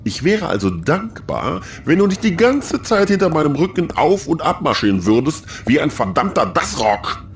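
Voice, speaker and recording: Creaky, grumpy alien hacker. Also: male voice, on the high side, sing-song, moderate pace. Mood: irritated. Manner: irritable, grumbling. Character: grumpy male alien hacker, short-tempered ship crewmate.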